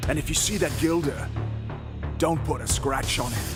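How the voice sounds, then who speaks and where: A man's voice: on the high side, moderate pace, loud music behind the voice, close to an everyday voice. A man playing a brash, cocky gang leader in a video game, with a swaggering, slangy way of talking. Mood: menacing.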